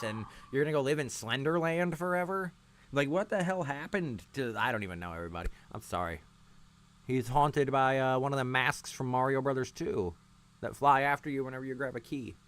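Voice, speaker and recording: A man's voice: medium pitch, a bit bright. A man hosting a livestream, giving casual, rambling reaction commentary in a blunt, sarcastic, sweary manner. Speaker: livestream host.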